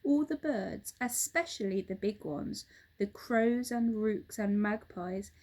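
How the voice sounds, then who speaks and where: A woman storyteller reading a children's book aloud with expressive narration. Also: medium pitch, slow pace.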